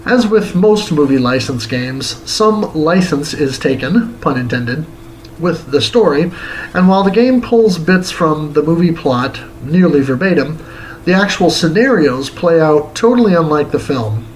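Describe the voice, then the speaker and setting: A man with a somewhat high voice narrates a scripted retro game review. He reads it aloud as the show's host, with a theatrical, tongue-in-cheek delivery. There is noise behind his voice. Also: lively intonation, unhurried, low-quality recording.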